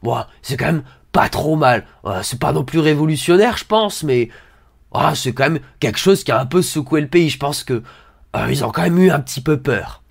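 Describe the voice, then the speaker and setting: A man gives a sarcastic read-along of an article, hesitating often and dropping mock-innocent remarks. His voice sits a little high and has a gravelly, creaky texture. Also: lively intonation, normal speed. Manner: hesitant, many fillers, mock-naive asides. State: has a cold. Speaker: satirical commentator reading an article aloud.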